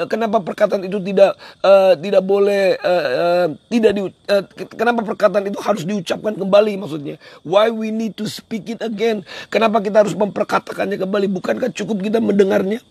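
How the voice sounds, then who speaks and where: A man with a hoarse voice, preaching a devotional in an emphatic, repetitive way. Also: high voice, dry recording. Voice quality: hoarse, husky. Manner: emphatic, repeats phrases for effect. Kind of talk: devotional sermon on a livestream, switching into English at times.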